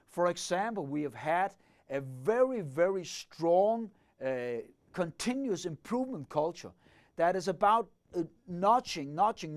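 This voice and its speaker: A man's voice: high voice, a little mellow. A middle-aged man lecturing on leadership, methodically working through the ideas and asking himself questions as he goes. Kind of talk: explanatory lecture.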